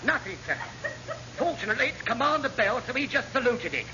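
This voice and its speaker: A hapless, rambling chief petty officer in vintage radio comedy. He is cheeky and wheedling, with a very high, animated voice. The sound is dull with clear background noise. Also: fairly quick. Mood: resigned, wry. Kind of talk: classic radio sitcom acting. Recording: muffled, noisy old radio comedy recording.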